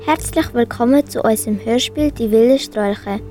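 A woman's voice: fairly high pitch, normal speed, warm tone, soft background music. A female announcer. She reads a scripted introduction to an amateur radio play.

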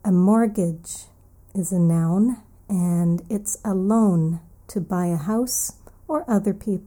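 A woman hosting an English lesson, recapping a story and then explaining vocabulary words slowly and carefully, repeating each key word. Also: medium pitch, warm tone. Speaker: English teacher and podcast host.

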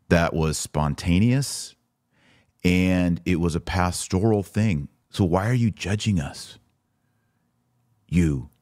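Deep-voiced man, sarcastic commentator. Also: darkish tone, dry recording, clean studio sound. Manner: sarcastic, emphatic. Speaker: podcast host. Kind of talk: commentary with document read aloud.

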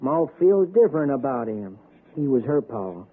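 A man's voice: mid-range voice, sing-song, moderate pace, close to an everyday voice. Male actor as young rustic hillbilly, Appalachian accent, blunt, plainspoken, narrow-band 1960s radio suspense sound. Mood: stubborn. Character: blunt, stubborn young hillbilly, smitten yet menacing. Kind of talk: old-time radio suspense acting. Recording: thin, noisy 1960s radio recording.

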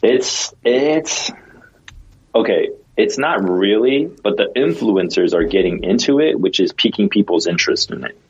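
A man with a very low, husky voice rambles casually through a story, full of "like" and restarts. His audio comes through dull and lo-fi. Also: animated, unhurried. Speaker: podcast co-host. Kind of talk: casual podcast chat.

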